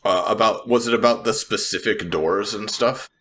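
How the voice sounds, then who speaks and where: A man playing a tabletop roleplaying game, casually thinking aloud in a tentative, halting way and repeating words. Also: lowish voice, a bit bright. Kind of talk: casual improvised game talk.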